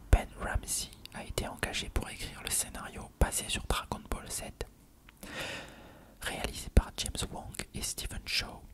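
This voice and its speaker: An ASMR narrator reads an encyclopedia-style article entirely in an unvoiced whisper.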